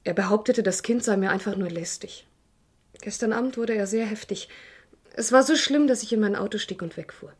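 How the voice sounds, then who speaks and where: An actress playing a composed, frank woman under police questioning in a crime radio play, measured and articulate. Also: mid-range voice, breathy edge, lightly acted. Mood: candid, troubled. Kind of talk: German crime radio drama acting.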